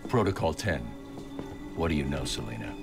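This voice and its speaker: An actor playing a brooding masked male vigilante detective in a video game, speaking in a low gravelly growl. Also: very animated, moderate pace, exaggerated voice. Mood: grim. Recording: game audio under music.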